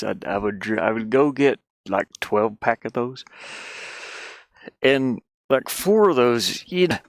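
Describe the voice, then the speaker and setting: A man chatting casually and loosely while he works, mumbling asides to himself in an informal, rambling way. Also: medium pitch. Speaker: streamer and hobby game developer. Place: inside a van.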